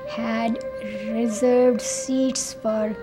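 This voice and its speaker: An older woman from Pakistan recalling and explaining in a measured way for a documentary interview. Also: on the high side, flat delivery, loud music behind the voice. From Pakistan, born in Delhi. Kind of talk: measured personal recollection.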